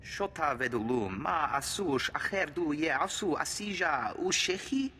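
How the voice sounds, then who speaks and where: In a long reverb, a dramatic male alien from a video game speaks an invented tongue. His voice is high and bright, swinging widely in pitch. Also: lively intonation, normal speed. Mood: grave, dramatic. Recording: long reverberant hall, faint ambient noise.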